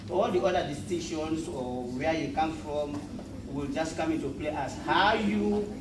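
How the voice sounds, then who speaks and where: A man in a training class makes an emphatic point, halting often and filling pauses with "um". He is heard from far off in an echoey room. Loud background noise competes with his voice. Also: fairly high pitch. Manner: emphatic, halting, many fillers. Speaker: workshop participant. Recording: distant roomy classroom mic with loud noise.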